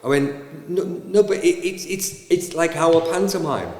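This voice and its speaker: A man telling a lively backstage story and acting out the voices. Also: on the high side, measured pace. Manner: animated, acts out voices in his stories. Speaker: panto actor. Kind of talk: anecdotal storytelling. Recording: roomy, echoey with long reverb.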